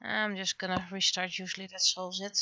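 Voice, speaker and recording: Bright-voiced woman musing on a livestream. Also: medium pitch, level tone, a little fast, lo-fi sound. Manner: musing aloud to herself in short fragments. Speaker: livestreaming artist. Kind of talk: casual livestream chat while drawing.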